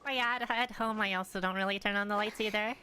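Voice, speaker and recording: A woman's voice: medium pitch, steady tone, fast talker, bright, forceful tone, a little hiss or hum. A young woman live streaming a game from her room, chatting offhandedly and rambling as she answers viewers. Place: room at home.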